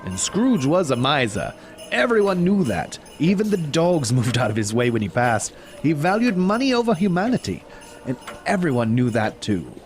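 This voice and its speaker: A man's voice: on the high side, big pitch swings, normal speed, faint music behind. A man playing a wry, knowing narrator of a Victorian tale with a British accent, telling it as scripted audio drama. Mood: wry, amused. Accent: put-on British.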